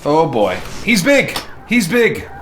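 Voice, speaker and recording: Male game streamer, lively swooping pitch, casual and self-mocking, slight noise on the recording. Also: slow. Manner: self-deprecating, jokey. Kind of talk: casual chat while playing a video game.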